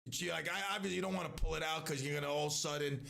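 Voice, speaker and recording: Gravelly-voiced man rambling on a stream. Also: a little high, rapid-fire, sharp tone. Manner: rambling, with frequent restarts and asides. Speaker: live stream host. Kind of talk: casual rambling commentary.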